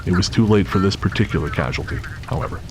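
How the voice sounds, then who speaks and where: A man's voice: low voice, expressive, fast talker, noisy background. A man giving scripted dramatic narration of a story.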